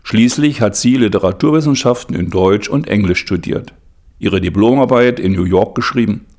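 A man's voice: fairly low pitch, moderate pace, darkish tone. A man reading scripted documentary narration as a reporter-style narrator.

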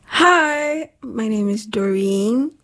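A woman with a slightly husky voice, hosting a casual chat in an informal, emphatic, slangy way. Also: on the high side, animated, very slow, crowd noise. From Nigeria. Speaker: talk show host.

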